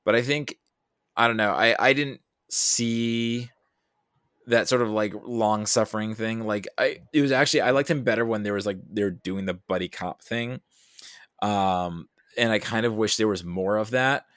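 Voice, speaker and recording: Low-voiced man, halting podcast guest. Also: a bit bright, clear, resonant tone, dry recording, studio quality. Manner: halting, rambling, thinks aloud. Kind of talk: casual film discussion.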